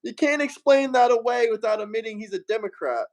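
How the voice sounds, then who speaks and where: A man's voice: very high voice, brisk. A man hosting a livestream, arguing heatedly and mockingly with a caller and asking viewers for super chats. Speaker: livestream host. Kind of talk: heated political livestream debate.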